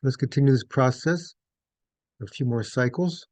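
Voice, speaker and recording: A man leading a guided meditation as instructor, speaking in measured, gentle phrases with pauses. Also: medium pitch, dry recording.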